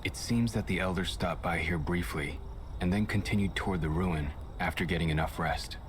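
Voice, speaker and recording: An actor playing a reliable, modest male technician in a video game, speaking in a measured, polite, matter-of-fact way. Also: low-pitched, background noise, lightly acted. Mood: composed. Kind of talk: scripted video game dialogue.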